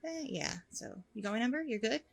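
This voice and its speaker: A woman's voice: on the high side, very animated, fairly quick, creaky, slightly rough, exaggerated voice. A woman playing a friendly, flustered, flirty single mom on a first date in an ASMR roleplay, chatty, hesitant and gushing. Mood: warm, eager. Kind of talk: ASMR roleplay acting, one side of a dialogue.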